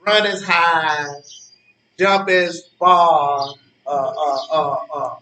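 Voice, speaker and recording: An actor plays an argumentative old man with a high voice in a stage play. He stammers and hammers his points by repeating them. The recording is roomy and distant, with some noise behind it. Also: steady tone, drawn-out words, sharp tone, exaggerated voice. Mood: exasperated, explaining. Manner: stammering, repetitive, emphatic, argumentative. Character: cranky, worried old man bickering with his friend. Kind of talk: live stage play dialogue.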